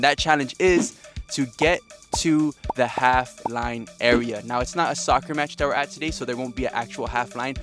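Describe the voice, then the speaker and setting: A man's voice: medium pitch, a bit bright. A man narrating a soccer travel vlog, upbeat and talking straight to his viewers. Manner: upbeat, addresses viewers as guys. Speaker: soccer vlogger. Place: outdoors and inside a large stadium.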